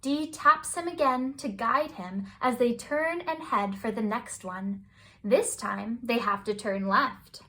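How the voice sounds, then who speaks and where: A woman reading a children's picture book aloud as scripted bedtime narration, with expressive, deliberate phrasing. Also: a little high. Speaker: children's storybook reader.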